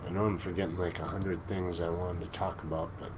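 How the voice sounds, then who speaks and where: A man in his forties hosting a casual live stream, chatting with viewers in a relaxed, joking way. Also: low voice, brisk. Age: 40s. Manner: laid-back, joking, chuckles often. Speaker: livestream host. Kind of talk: casual live chat reading viewer comments. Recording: noticeable background noise.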